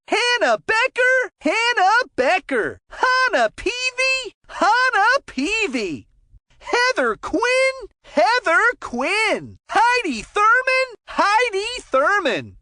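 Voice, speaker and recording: Very high, bright cartoon kid announcer. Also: female voice, dry recording, clean studio sound, full character voice. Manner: drawn-out announcer delivery of names, each said questioning then declarative. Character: high-voiced cartoon child hockey announcer, Buddy Cheque. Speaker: sports commentator. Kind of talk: game voice lines, calling out player names.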